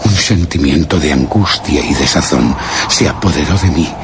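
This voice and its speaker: A man playing a solemn, anguished explorer who narrates a horror radio play in grave, measured, literary phrases. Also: a bass voice, background noise. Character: solemn, anguished male explorer recounting grim discoveries. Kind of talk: dramatic radio play narration, first-person horror tale. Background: music.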